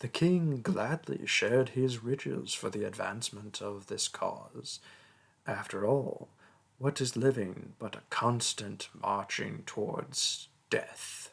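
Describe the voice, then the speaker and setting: Casting: male actor as a vain sorcerer king, slow, deliberate delivery, breathy tone, condescending and grandiose, for fantasy audio roleplay. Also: lowish voice, heavily acted. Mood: grand, storytelling. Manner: pompous, theatrical, condescending. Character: pompous, vain mage king, haughty toward his peasant subject. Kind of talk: theatrical roleplay monologue and storytelling.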